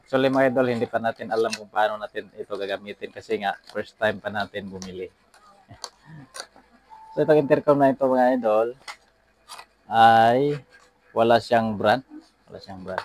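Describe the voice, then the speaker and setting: Low-voiced Filipino man hosting an unboxing vlog. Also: normal speed, pauses often, darkish tone. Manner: repeats phrases and address tags. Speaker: vlog host. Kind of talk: casual unboxing vlog, addressing viewers. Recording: some background noise.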